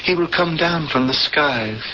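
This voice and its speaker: A man's voice: fairly high pitch, unhurried, pushed and bright, muffled recording. An actor playing an earnest, resigned male alien prisoner in a 1970s radio drama, on an old hissy recording.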